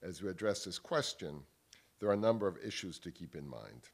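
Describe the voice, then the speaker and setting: A man, giving a measured academic panel talk at a table microphone in a wood-panelled hall, often restarting words. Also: deep voice, big pitch swings, vocal fry, breathy edge. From Italy. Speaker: panel speaker. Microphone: table microphone on stand.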